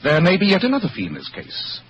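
A man playing a brilliant, sardonic English gentleman detective in a radio drama, speaking precisely with dry superiority and an English accent. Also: high voice, huge pitch swings, fast, heavily acted. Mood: wry, calculating. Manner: precise, theatrical, dryly superior. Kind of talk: old-time radio drama acting. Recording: dull, noisy old radio recording.

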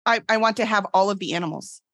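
A woman guesting on a podcast in casual chat. Her voice is bright and forward at an average pitch, recorded very dry. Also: quick pace, dry recording.

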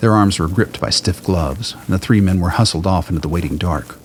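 A man's voice: deep voice, brisk. A man delivering scripted, dramatic narration for an audio play. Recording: noise, ambient sound effects behind.

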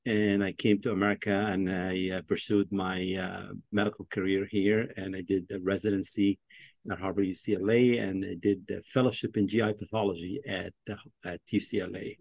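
A man's voice: sits low, little pitch movement, a little fast, vocal fry, a little mellow, dry recording. A man from Egypt, a pathologist and podcast guest, talking through his career in a thoughtful way with many fillers and restarts. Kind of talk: casual interview talk.

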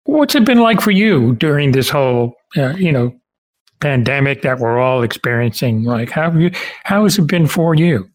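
A man with a mid-range voice conducts a casual interview. He is informal and a little halting, feeling his way through each thought. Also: mid-range voice, lively intonation.

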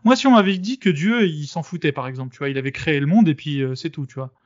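A man on his own podcast talks through a debate in a loose, casual way. He hesitates a lot and restarts his sentences. His voice sits a little high and sounds dry and dull. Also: fast, no echo. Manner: hesitant, many fillers and restarts. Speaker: podcast host.

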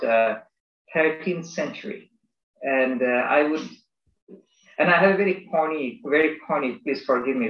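Academic man from Bangladesh, reflective and halting, echoey lo-fi room. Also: mid-range voice. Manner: thoughtful, halting, many restarts and fillers. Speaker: business school academic. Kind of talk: conversational interview.

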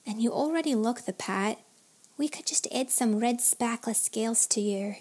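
A woman's voice: fairly high pitch, moderate pace, a little mellow, husky. A woman voicing an earnest, anxious female animal friend in a children's story. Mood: encouraging.

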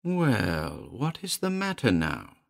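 A man voicing a small, malevolent demon familiar with a shrill, sly, insistent delivery in an audio horror story. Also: wildly swooping pitch, slow pace, creaky, heavily acted. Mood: cold, insistent.

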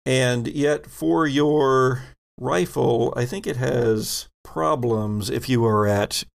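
Male game master, unhurried with vocal fry, descriptive tabletop narration. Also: medium pitch. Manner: descriptive, halting, thinking aloud.

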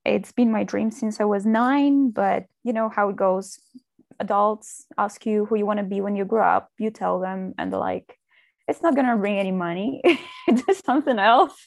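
A woman from Russia, a guest in a podcast interview who talks in a relaxed way, with fillers and light laughs. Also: medium pitch, normal speed, creaky, no room sound, clean studio sound. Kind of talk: casual interview chat.

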